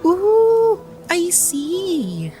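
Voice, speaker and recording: An actress playing an excitable, gushing young woman, a best friend in a radio drama. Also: very high-pitched, extremely slow, exaggerated voice. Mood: excited. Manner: exclamatory, gushing, Taglish. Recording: music behind the voice.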